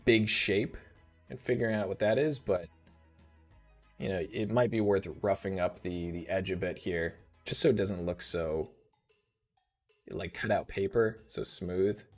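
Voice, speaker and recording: Man, 30s, live tutorial host; slightly rough, laid-back, lowish voice, faint music bed. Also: unhurried. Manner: relaxed, thinking aloud with fillers.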